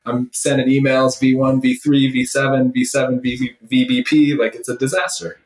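A man's voice: mid-range voice, flat delivery, moderate pace. A man speaking as an interview guest and startup executive, conversational yet emphatic and opinionated, building points through repeated phrases. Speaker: startup CEO and former finance leader, interview guest. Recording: roomy, echoey sound.

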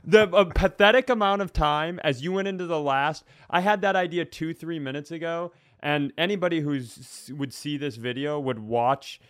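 A man's voice: fairly high pitch, moderate pace, hardly any pauses, a bit bright, clear, resonant tone, dry recording. An American man co-hosting a podcast, talking casually and rewording his thoughts as he goes. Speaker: podcast co-host.

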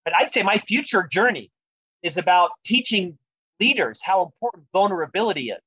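A man's voice: sits high, lively intonation, a little fast, pushed and bright, breathy edge, no echo, muffled recording. A man with a lifelong stutter, speaking earnestly and reflectively as an author being interviewed about leadership. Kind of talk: podcast interview conversation.

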